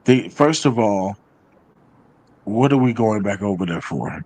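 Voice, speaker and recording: A man's voice: fairly low pitch, animated, unhurried, darkish tone, rough recording. A man in a casual, opinionated discussion, speaking insistently and repeating his phrases. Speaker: talk show participant.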